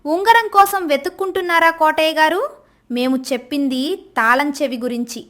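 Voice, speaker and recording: A woman's voice: sits high, normal speed, fairly bright tone. A woman voicing a clever, bold village wife. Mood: confident. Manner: confident, clear explaining. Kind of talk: animated storybook voice acting.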